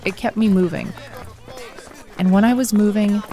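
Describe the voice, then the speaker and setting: A woman's voice: medium pitch, fairly even intonation, normal speed, mellow, soft background music, lightly acted. A woman playing a determined, grieving young runner in an audio drama, wry, guarded and understated. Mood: wistful, reflective. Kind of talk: audio drama acting, first-person narration and dialogue.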